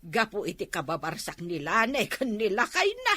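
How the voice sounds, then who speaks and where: A woman playing an excitable, nosy village woman in a comedy drama, with a Filipino accent. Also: mid-range voice, normal speed, breathy edge, exaggerated voice. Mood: gossipy.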